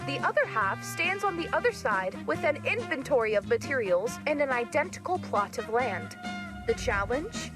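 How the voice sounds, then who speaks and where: A woman's voice: high-pitched, sing-song, normal speed, over music. A woman playing a bubbly game show announcer, reading a scripted voiceover with showy emphasis. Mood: upbeat, inviting.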